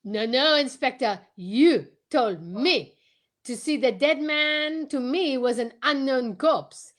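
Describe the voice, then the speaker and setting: A self-assured Belgian gentleman detective from an old-time radio mystery, acted by a woman. The voice sits on the high side, with a courteous, unhurried theatrical delivery sprinkled with French phrases. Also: animated, exaggerated voice. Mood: calm, knowing.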